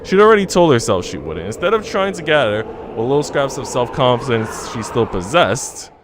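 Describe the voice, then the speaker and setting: A man's voice: mid-range voice, very animated, normal speed, noisy background. A man live streaming in a joking, teasing, slangy way, switching between casual chat and reading story narration aloud. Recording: background music under voice. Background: music.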